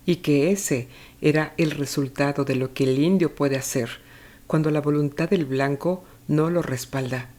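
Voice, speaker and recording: Scripted book narration, mid-pitched voice, measured storytelling, deliberate pauses. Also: a little mellow. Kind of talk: scripted narration, retelling a novel.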